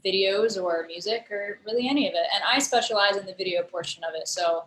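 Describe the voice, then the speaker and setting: A woman talks through her ideas in a thoughtful, rambling way, with plenty of ums and restarts. Her voice sits at an ordinary middle pitch. She comes through a video call from a roomy, echoey space. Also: brisk, fairly bright tone, a little hiss or hum. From United States. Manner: thoughtful, explains at length with many fillers. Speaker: guest, digital storyteller and musician. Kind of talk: casual interview conversation.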